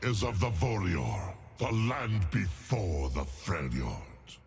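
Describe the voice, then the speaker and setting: An ancient, brooding bear god of thunder from a video game. The voice is low and full of gravel, swinging widely as it proclaims its wrath. It is grandiose and menacing. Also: male voice, very animated, takes it slowly, a little mellow, soft background music, heavily acted. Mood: grim, brooding. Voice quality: gravelly, deep, raspy growl. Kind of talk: video game character voice lines.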